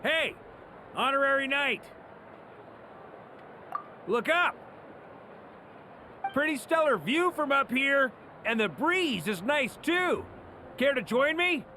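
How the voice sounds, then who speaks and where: A man's voice: extremely high pitch, animated, slow pace, pauses often, bright, forceful tone, slight background noise, exaggerated voice. A man voicing a suave, easygoing young man in a video game, breezy and playful. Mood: inviting. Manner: breezy, casual. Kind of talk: video game character acting.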